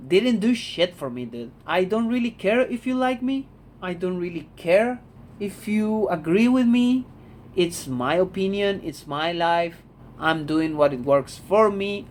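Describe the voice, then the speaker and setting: A man's voice: high voice, animated, unhurried, a little hiss or hum. Blunt young Argentine man. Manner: blunt, repeats "man", swears freely. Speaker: vlogger. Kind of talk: casual talking-head monologue. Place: home room.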